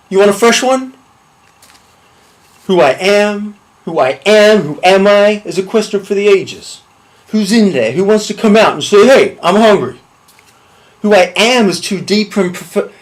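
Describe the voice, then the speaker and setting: Shady, blustering male mobster, acted by a man: higher pitch, loud, rambling, comic self-importance. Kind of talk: comic monologue.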